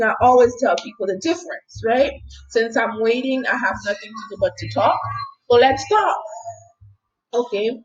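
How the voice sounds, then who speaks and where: Animated woman telling a motivational story. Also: a little high. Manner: animated storytelling, repeats "okay?" often. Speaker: healer and speaker. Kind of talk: casual motivational talk. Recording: dull lo-fi sound with faint noise.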